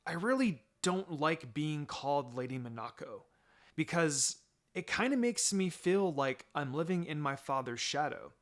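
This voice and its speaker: A precocious little ninja girl, acted out by a man in an anime story reading. The voice is fairly high and swoops about expressively, earnest, confident and a bit cheeky. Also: fairly bright tone.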